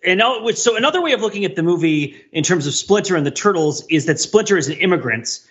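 A man with a fairly high, raspy voice talks through pop culture in an animated, explanatory way. His delivery is casual and bright, like a panelist making an argument. The track sounds slightly dull and lo-fi. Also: fast talker. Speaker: podcast panelist.

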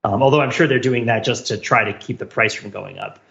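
A man hosting a casual panel podcast, rambling in an animated way and repeating phrases as he thinks aloud. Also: mid-range voice, fairly quick, gravelly, lo-fi sound. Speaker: podcast host.

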